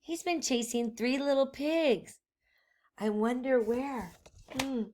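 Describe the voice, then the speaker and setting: A woman doing an expressive children's read-aloud, pausing the story to ask listeners questions. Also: high voice. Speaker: storytime reader, teacher.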